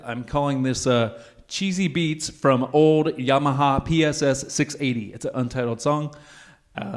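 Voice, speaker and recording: A man's voice: medium pitch, darkish tone. A man talking casually and reflectively as he hosts a livestreamed music show between songs. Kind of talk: casual radio-style chat between songs.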